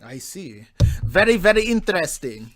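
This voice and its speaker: A man's voice: sits high, sing-song, moderate pace, fairly bright tone. Easygoing male podcast host. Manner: easygoing, brief replies, chuckles. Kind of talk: casual podcast interview.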